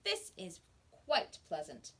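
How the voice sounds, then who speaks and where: A woman reading the part of a calm, unbothered brother in a children's storybook. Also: fairly low pitch, takes it slowly, slightly rough, pitched below the performer's own voice, full character voice. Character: calm man in a fire, unbothered.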